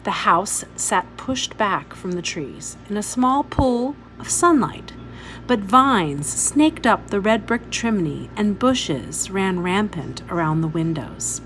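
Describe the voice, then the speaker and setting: Woman teacher reading aloud, lively intonation. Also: medium pitch, slight background noise, low-quality recording. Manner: instructive, pausing to point out details. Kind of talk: expressive read-aloud storytelling.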